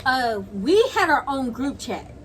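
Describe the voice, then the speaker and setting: A young adult woman in casual storytime chat, slangy and halting, repeating phrases for emphasis. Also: sits high, lively intonation. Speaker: video creator, guest co-storyteller. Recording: clearly noisy home video recording.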